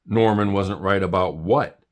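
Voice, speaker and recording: A man's voice: deep voice, a little slow. A man voicing a casual, helpful, know-it-all animal neighbor in a children's storybook.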